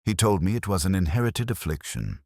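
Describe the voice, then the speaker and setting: A man narrating a first-person detective story in a measured, literary, brooding manner with a husky voice. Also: sits low, very animated, a little mellow, no echo, studio quality.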